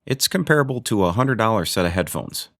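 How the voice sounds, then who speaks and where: A man's voice: fairly low pitch, lively intonation, fairly quick, a bit bright. A man hosting a gear review video, narrating from a script with dry, joking asides.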